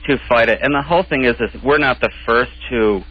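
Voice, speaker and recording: A man's voice: mid-range voice, fairly quick, slightly rough. A man in a casual, argumentative radio conversation, speaking defensively as a guest. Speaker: radio show guest, pageant organizer and drag performer. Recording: dull lo-fi radio sound.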